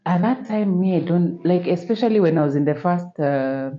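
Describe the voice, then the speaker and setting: A Kenyan woman guest sharing her life story, soft-spoken and hesitant, with many pauses and fillers. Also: lowish voice, dull audio. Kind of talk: personal testimony interview.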